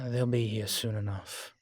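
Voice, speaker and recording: A man's voice: lowish voice, a little mellow, no room sound, clean studio sound. A man playing an ancient, proud and world-weary male vampire in an audio roleplay, talking in a wry, haughty way with old-fashioned fancy words. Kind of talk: audio roleplay drama, one-sided dialogue.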